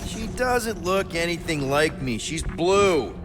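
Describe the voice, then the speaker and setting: An actor playing an easygoing, boyish space crew leader in a video game, casual, slangy and expressive. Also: male voice, on the high side, slow pace, big-room sound, over music. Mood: worried. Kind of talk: video game character acting.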